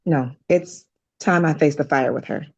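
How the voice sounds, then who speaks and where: A woman playing a concerned, firm doctor in a drama table read, speaking in a measured, earnest way. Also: deep voice, a little mellow, highs cut off. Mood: worried, resolute.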